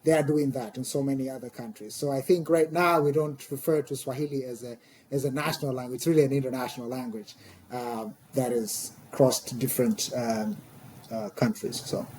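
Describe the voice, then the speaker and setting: A middle-aged man with a Tanzanian accent, speaking a second language in thoughtful interview talk, hesitant, with pauses and repeated words as he explains. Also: mid-range voice, steady tone, darkish tone, slight background noise. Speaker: podcast guest, scholar.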